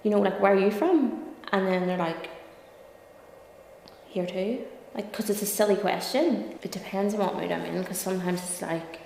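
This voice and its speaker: A woman's voice: mid-range voice, normal speed, a little mellow, slight background noise. A young woman with a Belfast Northern Irish accent talks candidly in an interview, conversational and peppered with "like" and "you know". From Belfast, Northern Ireland. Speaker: interview guest, lawyer and content creator. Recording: echoey reverberant room, clear music behind. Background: music.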